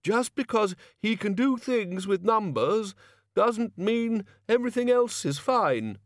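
A man's voice: high-pitched, lively intonation, slow pace, no room sound, studio quality, voice pushed up, heavily acted. A man voicing a peevish, fussy male senior wizard in an audiobook. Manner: querulous, fussy. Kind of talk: audiobook character acting.

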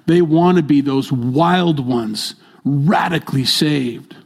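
An older male pastor delivers a sermon in a church, hammering each point home by saying it twice. His voice sits somewhat high for a man, and he drops from loud to soft and back. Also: takes it slowly. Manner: emphatic, repeats key phrases, swings between loud and soft.

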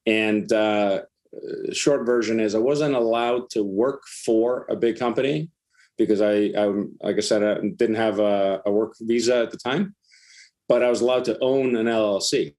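Middle-aged guy from iceland, lowish voice, casual founder chat as a podcast guest. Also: moderate pace, a little mellow. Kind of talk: casual interview talk.

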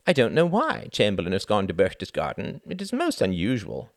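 A man reading aloud, voicing a cool, wry male British diplomat who speaks in a measured, mildly condescending way. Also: on the low side, huge pitch swings, dry recording, studio quality.

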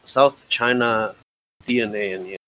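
A man's voice: on the low side, a little slow, bright, forceful tone, dry recording, rough recording. A young man in his twenties co-hosting a podcast, joking bluntly and swearing casually. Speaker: podcast co-host. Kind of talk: casual chat.

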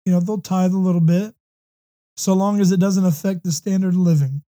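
A hoarse, slightly congested man from the United States hosting a faith talk show, speaking earnestly with many ums and restarts. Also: high-pitched, fairly even intonation, a little fast, warm tone. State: hoarse with a runny nose. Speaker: podcast host.

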